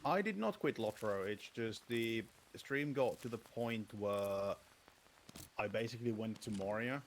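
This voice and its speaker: A man's voice: on the low side, a little slow, a little hiss or hum. A man with a Finnish accent, a native Finnish speaker, chatting casually with friends while streaming a game, with dry, sweary banter. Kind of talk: casual chat while gaming.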